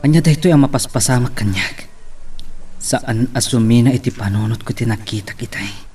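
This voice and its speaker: A man's voice: mid-range voice, normal speed, a little mellow, faint music behind, natural voice. A man with a Filipino Ilocano accent acting an evasive, brooding husband in a radio-style romance drama, curt and irritable. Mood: brooding, possessive. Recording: distant mic with background noise.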